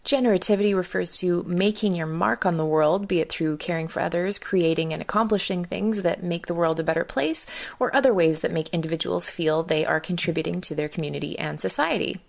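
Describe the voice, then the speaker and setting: A woman hosting a community radio health show, reading a measured, explanatory script. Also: lowish voice, creaky.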